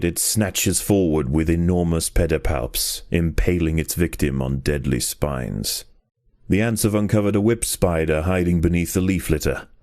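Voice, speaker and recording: A man performing a solemn nature documentary narrator for a game, measured and ominous in delivery. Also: low voice, mellow, no echo, studio quality, lightly acted. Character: grave nature documentary narrator of ant wars.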